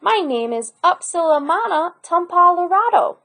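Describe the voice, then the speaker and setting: Woman as a high-pitched, sing-song little boy. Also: sing-song, normal speed, sharp tone, full character voice. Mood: determined. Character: cheerful young island boy, singing his long name.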